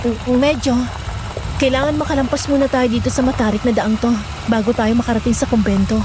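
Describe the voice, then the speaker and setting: Woman acting high-voiced, uneasy young woman. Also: steady tone, fairly quick, background noise, natural voice. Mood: hesitant. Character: young woman, reluctant former novice nun, earnest and uneasy. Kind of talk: Filipino horror audio drama dialogue.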